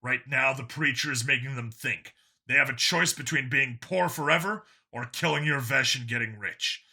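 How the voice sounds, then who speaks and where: Man playing gruff weary detective, bright forceful voice. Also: mid-range voice, moderate pace, full character voice. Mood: determined. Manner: terse, grumbling. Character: Vincent, gruff weary human detective on dangerous missions.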